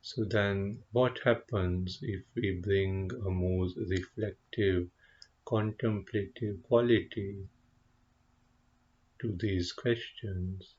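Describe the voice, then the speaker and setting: A man leading a guided meditation as a teacher, speaking gently and contemplatively, with unhurried pauses between short phrases. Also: sits low, fairly even intonation, muffled recording. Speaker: meditation teacher, Buddhist monk.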